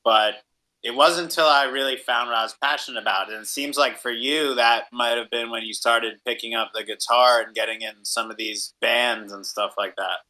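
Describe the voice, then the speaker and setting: A man's voice: medium pitch, fairly even intonation, moderate pace, pushed and bright, low-quality recording. A young adult man hosting a casual interview, agreeable and informal, sprinkling in "like" and "right?". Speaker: podcast host, interviewer.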